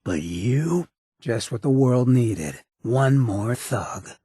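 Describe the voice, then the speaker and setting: An actor voicing a sly, swaggering outlaw mayor in a video game, with a gravelly rasp and cocky, streetwise, slangy drawl. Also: male voice, medium pitch, animated, takes it slowly, mellow, no echo, clean studio sound. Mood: disgusted, scolding. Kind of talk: video game companion dialogue.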